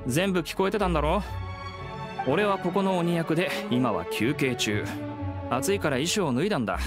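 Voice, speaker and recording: A breezy young man who works as a haunted-house ghost in a video game, voiced by a male actor. He talks rough and colloquial in a highish, animated voice, with clear music behind him. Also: normal speed, lightly acted. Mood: breezy, matter-of-fact. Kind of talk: scripted Japanese game voice acting.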